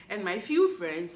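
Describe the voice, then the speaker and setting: A woman, hosting a solo podcast recap in a playful, chatty way that teases the listener. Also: fairly high pitch. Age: 20s. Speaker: podcast host. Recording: echoey room.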